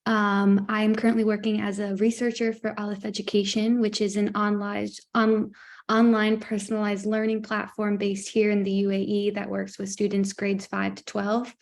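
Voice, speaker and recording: A woman from Connecticut introduces herself as a researcher on a panel. She speaks in a very flat voice with noticeable vocal fry, matter-of-fact and pausing now and then with "um.". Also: medium pitch.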